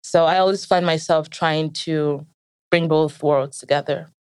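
A woman's voice: low voice, fairly even intonation, unhurried, darkish tone, smooth, dry recording, studio quality. A young woman from Nigeria and the United States, speaking in an American accent with Nigerian traces as she tells reflective personal stories in an interview. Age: young adult.

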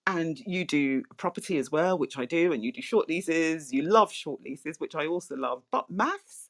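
A middle-aged woman with a soft voice, hosting a casual property chat over a video call, chatty and laughing often. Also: low-pitched, expressive, moderate pace. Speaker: property podcast host. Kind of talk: casual online interview chat.

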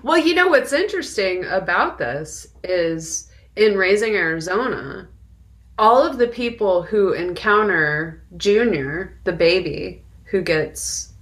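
Low-pitched woman, slow and reflective. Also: expressive, a bit bright. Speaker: podcast co-host. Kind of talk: casual conversational film discussion.

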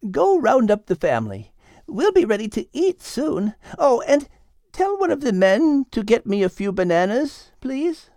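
A man voicing a kindly, practical woman who runs the household in a storybook, calm and gently instructing. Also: very high voice, unhurried, no echo, clean studio sound, pitched above the performer's own voice, heavily acted.

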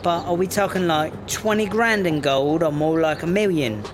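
A man's voice: fairly high pitch, moderate pace, background noise, natural voice. A man playing a brash, foul-mouthed English smuggler in a sci-fi comedy audio drama, sardonic and slangy. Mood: sly, probing.

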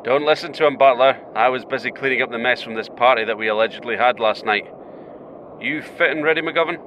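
A man playing a calm, friendly space mission captain with dry humour in a naturalistic audio drama. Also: medium pitch, brisk, muffled recording, background noise, natural voice. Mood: brisk, dry.

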